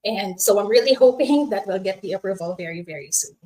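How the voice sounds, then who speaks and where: A woman's voice: medium pitch, fairly quick, mellow. A woman in her thirties from the Philippines with a Filipino accent, hosting a casual livestream chat, friendly and chatty, often laughing and mixing in Tagalog. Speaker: host of a Facebook live.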